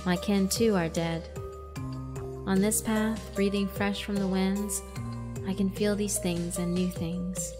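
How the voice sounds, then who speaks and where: A woman's voice: mid-range voice, steady tone, slow pace, music in the background, close to an everyday voice. Woman voicing a wistful, weary female fiend. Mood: weary, mournful. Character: gentle female fiend, ancient, weary, wistful, newly freed. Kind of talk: dramatic visual novel narration.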